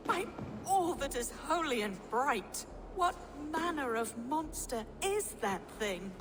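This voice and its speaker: A woman's voice: sits high, big pitch swings, slow, full character voice. A woman playing a devout, solemn medieval abbess in a video game. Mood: aghast. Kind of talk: dramatic video game acting. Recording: long reverb, clear background noise.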